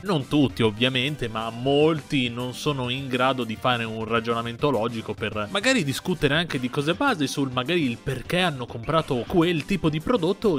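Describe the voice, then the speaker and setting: A man's voice: medium pitch, fairly bright tone. A man narrating a casual opinion commentary voice-over in an informal, conversational way with ironic asides. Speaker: video creator and narrator. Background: music under the voice.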